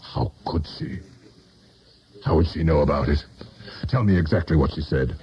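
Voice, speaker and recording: An actor playing a lonely, brooding politician of sixty in a 1970s radio drama, terse and weary, with a husky voice. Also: male voice, extremely low pitch, moderate pace. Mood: uneasy. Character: weary, lonely sixty-year-old successful city politician. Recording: dull lo-fi 1970s radio recording.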